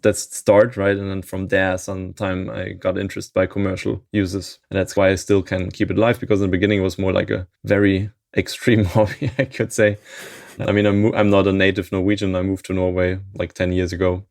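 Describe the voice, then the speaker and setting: A man in his thirties, a software maintainer being interviewed, talking in a thoughtful way and chuckling often mid-sentence. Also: sits low, little pitch movement, brisk, creaky, dry recording, studio quality. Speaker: open source maintainer, interview guest. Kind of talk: casual interview chat.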